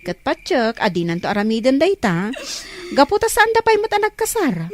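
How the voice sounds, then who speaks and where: A woman's voice: fairly high pitch, wildly swooping pitch, moderate pace. A woman playing a concerned, scolding older sister in an audio drama, with a Filipino accent. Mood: worried, consoling.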